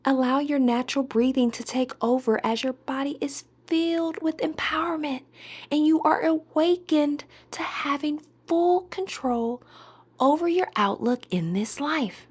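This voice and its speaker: A woman leading a guided meditation in a soothing, deliberate way, with breathy, whispery word endings. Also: sits high.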